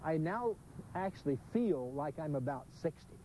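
A man's voice: on the high side, lively intonation, normal speed. An eighty-year-old man, a cyclist, talking cheerfully with chuckles in an outdoor interview on a lapel mic. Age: 80s. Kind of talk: casual interview remarks. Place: outdoors on the road. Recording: noisy outdoor field recording.

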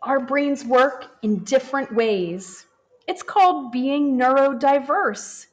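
A woman, a teacher, reading a children's picture book aloud in a gentle, expressive manner. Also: high-pitched, poor audio. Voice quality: expressive and animated, slow and gentle. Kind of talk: children's storybook read-aloud. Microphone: camera over the book, hands turning pages.

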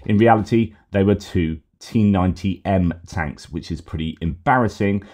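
A middle-aged man talks through the news in a loose, rambling way, full of fillers and restarts. His voice sits low and mellow, slightly worn as if he's losing it. He is recorded at home on a headset mic. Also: normal speed. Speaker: host. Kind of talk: casual commentary on news posts.

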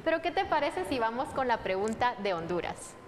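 A woman's voice: on the high side, very animated, brisk. A young woman hosting conversationally and asking questions. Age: young adult. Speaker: host. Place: studio set. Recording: hall reverb, background noise.

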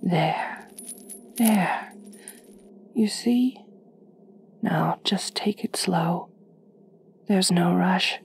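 An aristocratic female vampire, acted by a woman for an ASMR roleplay, speaks very slowly and softly in formal, soothing phrases. Her breathy voice has a marked creak and drops toward a whisper, gentle yet possessive. There is faint noise behind her. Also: lowish voice, exaggerated voice. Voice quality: soft, breathy, often near-whispered. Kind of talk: soft-spoken ASMR roleplay.

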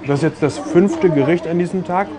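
A man's voice: on the high side, brisk, a crowd in the background. A man in his thirties hosting a travel food show on camera, upbeat and enthusiastic, walking through a market and cooking, on a lapel mic. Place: outdoor city street and market, then a small kitchen. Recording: busy street noise behind.

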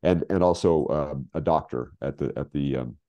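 An American man in his sixties telling stories in casual conversation, halting and emphatic, often repeating phrases for weight. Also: rumbling low, dark tone, lo-fi sound. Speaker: guest, scriptwriter. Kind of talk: casual anecdotal storytelling in conversation. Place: home office, video call.

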